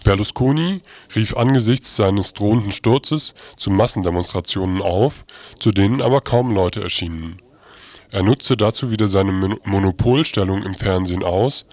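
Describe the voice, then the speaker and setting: Low-voiced man reading radio news. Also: normal speed, a little mellow, no room sound.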